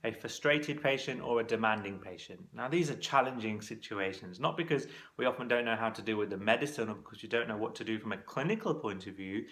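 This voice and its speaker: Low, gravelly-voiced man coaching. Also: fast, hardly any pauses, fairly bright tone. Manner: conversational, practical, coaching tone. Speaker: clinical exam course tutor. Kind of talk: instructional talk to camera.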